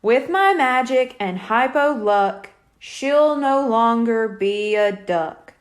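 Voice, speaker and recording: A woman voicing a grand, commanding male stage hypnotist in a slow, deliberate storybook delivery. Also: fairly high pitch, expressive. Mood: commanding, solemn.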